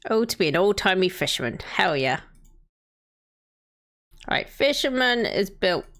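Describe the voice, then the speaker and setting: A woman's voice: medium pitch, big pitch swings, measured pace, fairly bright tone. Chatty woman in her thirties. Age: 30s. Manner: chatty, thinks aloud, greets viewers by name. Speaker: streamer. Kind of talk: casual live-stream chat while playing a city-building game.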